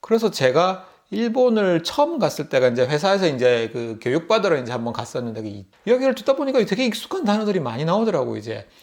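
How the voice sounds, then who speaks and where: A middle-aged man speaks with a Busan Gyeongsang accent in a somewhat higher voice. He tells a casual story to camera as a vlogger. He talks in a loose, chatty way and laughs often. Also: big pitch swings, fast talker, hardly any pauses, a little mellow. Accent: Gyeongsang (Busan) Korean. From Busan, South Korea. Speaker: YouTube vlogger.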